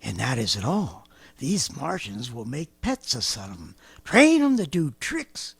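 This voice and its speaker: A man's voice: mid-range voice, wildly swooping pitch, measured pace, gravelly, husky, heavily acted. An actor playing a rambling, boastful former militiaman who laughs to himself as he lays out his survival plan, in 1930s radio drama style. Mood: scheming.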